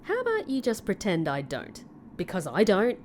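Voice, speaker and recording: A woman's voice: medium pitch, wildly swooping pitch, normal speed, a little mellow, slight background noise. A woman playing a wry, defiant, protective heroine in a fantasy comedy audio drama, plain-spoken and sardonic.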